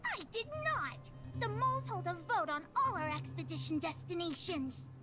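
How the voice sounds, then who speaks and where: A woman's voice: very high-pitched, animated, moderate pace, loud music behind the voice. A woman voicing a brash, bossy little girl gang leader in an anime video game, with a childlike voice and cheeky, boastful delivery. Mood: indignant. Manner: cheeky, boastful, indignant exclamations.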